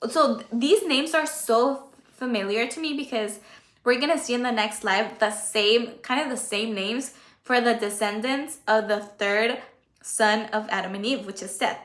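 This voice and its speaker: A woman whose first language is Spanish, informally teaching a Bible study in a conversational, halting way. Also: on the high side, a bit bright, reverberant. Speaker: Bible teacher and channel host. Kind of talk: informal Bible study talk, reading and explaining.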